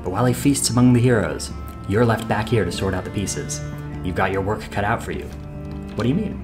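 A young man hosting a game playthrough, reading character dialogue aloud in a plain voice and then chatting casually about his choices. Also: fairly low pitch. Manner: reads character lines plainly, then chats casually with pauses and fillers. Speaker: let's play host. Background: game music.

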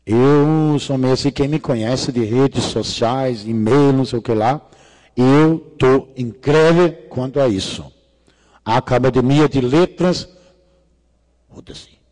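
A man's voice: medium pitch, takes it slowly, a little mellow. A middle-aged man from Denmark speaking Portuguese with a Danish accent, giving a jokey, irreverent conference talk with casual swearing and grammar slips. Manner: non-native grammar slips. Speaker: lecturer. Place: large lecture hall. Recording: reverberant hall.

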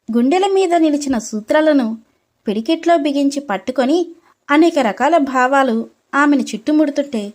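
A woman's voice: sits high, a little mellow. A woman storyteller reading a scripted story narration.

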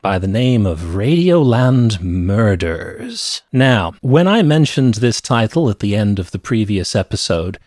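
A middle-aged man co-hosting a podcast, telling stories about movies in a casual, deliberate way. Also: lowish voice, very animated, darkish tone, no room sound, studio quality. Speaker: podcast co-host. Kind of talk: casual movie review chat. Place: cluttered home room with shelves. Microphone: close podcast mic with headset.

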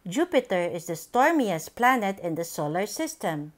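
A woman reading a picture book aloud for young children, with simple, deliberate, expressive phrasing. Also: medium pitch. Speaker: children's book reader, channel host.

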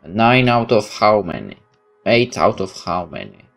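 A man's voice: fairly low pitch, very animated, normal speed, creaky, a bit bright. A man playing a game on camera-free commentary, reading its narration aloud and adding remarks of his own. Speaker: let's player. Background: game music.

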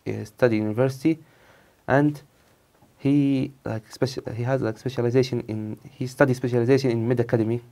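A man in his twenties with an Arabic accent, answering interview questions in halting, repetitive non-native English. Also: medium pitch, fairly even intonation, gravelly, mellow. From Saudi Arabia, of Tunisian family. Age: 20s. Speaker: interview guest, medical student. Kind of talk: conversational TV interview. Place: dark TV studio, indoors. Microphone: lapel mic.

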